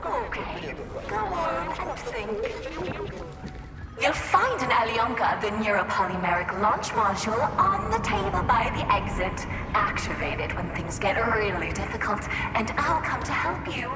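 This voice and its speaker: A woman's voice: a little high, big pitch swings, measured pace, echoey room, far from the mic, over loud music, low-quality recording. An actress playing a flirtatious, possessive and eccentric female robot in a video game, cooing and full of pet names. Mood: doting, sultry. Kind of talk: video game character acting.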